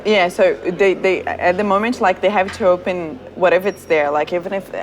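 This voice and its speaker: Woman, Brazilian accent, fairly low voice, cheerful, chatty, reads questions aloud. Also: steady tone, brisk, poor audio. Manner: cheerful, laughs often, reads out viewer questions. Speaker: product manager hosting a community Q&A. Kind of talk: casual video chat with a colleague.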